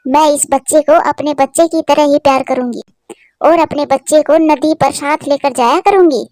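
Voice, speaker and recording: A woman voicing a gentle, sorrowful, self-sacrificing female bird wife in a cartoon, speaking in an earnest, emotional way. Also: high voice, normal speed, no room sound, studio quality, natural voice. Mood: joyful, tender. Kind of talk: Hindi cartoon voice acting.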